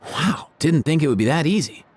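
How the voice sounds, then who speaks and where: A man's voice: a little high, animated, brisk, slight background noise, close to an everyday voice. A male actor playing an easygoing, teasing young man in a game, friendly and casual.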